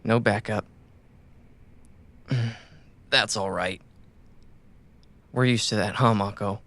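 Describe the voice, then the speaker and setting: An actor playing a wounded, loyal cyberpunk runner in an audio drama, tenderly and haltingly reassuring a companion. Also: male voice, lowish voice, fairly even intonation, noisy background. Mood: soft, determined. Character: wounded, loyal male caravan runner gently soothing his companion.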